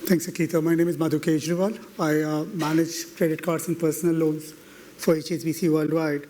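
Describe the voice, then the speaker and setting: A man with a fairly high voice speaks as a panelist at a conference. He lays out his thoughts confidently in ordered points, with a few restarts. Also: level tone, brisk, mellow, slight background noise. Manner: confident, explanatory, structured points. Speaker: banking executive, panelist. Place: conference stage.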